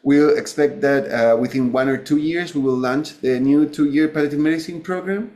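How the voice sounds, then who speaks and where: A man giving an academic webinar presentation, halting and often correcting himself. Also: on the high side, darkish tone. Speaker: academic physician, guest speaker.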